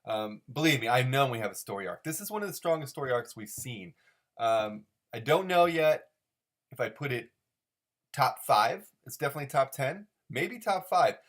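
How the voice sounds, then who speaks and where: A man speaks in a medium-pitched voice, giving rambling, unscripted commentary as a solo host. He treats things with mock seriousness, overanalyzing as he goes and repeating phrases to drive them home. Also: lively intonation, normal speed, a bit bright. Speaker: host of a comic-strip commentary show.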